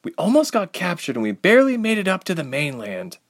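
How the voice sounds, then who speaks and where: An anxious young woman acted out by a man in an audiobook story, lifted in pitch. She is reluctant and homesick, speaking in a plaintive, sighing way with lively intonation. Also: normal speed. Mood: upset, defiant. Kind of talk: audiobook character voice.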